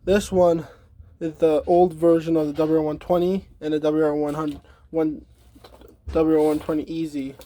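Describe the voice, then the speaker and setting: Man, slightly high voice, casual hobbyist demo, halting, unscripted, trailing sentences. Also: flat delivery, mellow. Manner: halting, trails off mid-thought. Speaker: hobbyist demonstrating a weather radio collection. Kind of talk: casual unscripted product demonstration.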